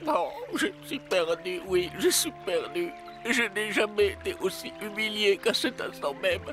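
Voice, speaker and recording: A high-pitched cartoon frog prince, courtly and self-pitying. He speaks in formal, theatrical phrases, with music clearly playing behind him. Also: unhurried. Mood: despairing. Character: despairing enchanted prince frog, courtly and melodramatic. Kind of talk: French cartoon dubbing.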